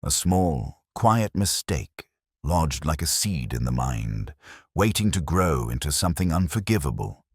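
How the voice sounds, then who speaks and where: A man playing a brooding, cerebral older detective inspector, narrating a mystery in a measured, grave, literary manner for a radio drama. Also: extremely low pitch, sing-song, husky, dry recording, studio quality, full character voice. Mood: brooding, reflective.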